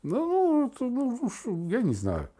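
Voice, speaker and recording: A man's voice: very high voice, huge pitch swings, moderate pace, warm tone. A middle-aged man chatting casually as a podcast co-host, telling stories in a sardonic, theatrical way and acting out imagined remarks. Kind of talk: casual chat, storytelling.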